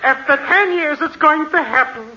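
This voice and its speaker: An actor playing an agitated, wheedling butcher in a 1940s radio comedy, excitable and pleading. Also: male voice, very high voice, moderate pace, a little hiss or hum, exaggerated voice. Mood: excited. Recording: muffled 1940s radio recording.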